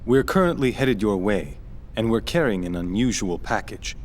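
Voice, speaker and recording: Low-voiced, guarded officer acted by a man. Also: lively intonation, normal speed, a little hiss or hum, natural voice. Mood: serious, guarded. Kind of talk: dramatic game cutscene dialogue.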